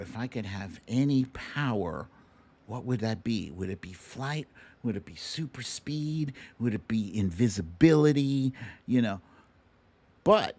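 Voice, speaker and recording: A man's voice: mid-range voice, darkish tone. A man hosting an online live show, chatting casually and playfully, straight to his viewers. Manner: playful, informal, addresses viewers directly.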